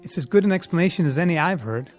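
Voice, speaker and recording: An actor playing an irritable, self-righteous passenger in a radio drama, agitated and complaining. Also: male voice, a little high, expressive, racing, natural voice. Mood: anxious. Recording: music bed under the dialogue.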